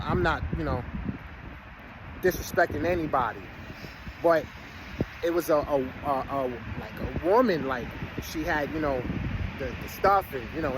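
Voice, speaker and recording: Man in his late 30s ranting outdoors. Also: a little high. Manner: rambling, streetwise slang, preachy asides. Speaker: vlogger. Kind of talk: casual monologue to followers. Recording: outdoor street noise, vehicles passing.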